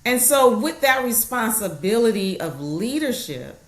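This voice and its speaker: A woman's voice: fairly high pitch, very animated, a little slow. Echoey affirming woman host. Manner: affirming, frequent short agreements. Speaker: podcast host. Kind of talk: interview conversation. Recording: roomy echo.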